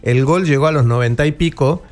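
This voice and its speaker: A talkative man in his fifties with a Rioplatense Argentine accent runs a relaxed radio chat, joking with his co-host and stumbling over foreign names. His voice sits a little above the usual range for a man. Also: on the high side, brisk, faint music behind. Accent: Rioplatense Spanish. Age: 50s. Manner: chatty, teasing, trips over foreign names. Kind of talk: casual sports and music chat.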